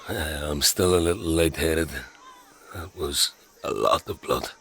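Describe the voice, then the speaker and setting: Reluctant hero, dark fantasy audio drama. Brooding, guarded, very deep, creaky, faint noise behind. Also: male voice, measured pace, exaggerated voice. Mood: shy, warming.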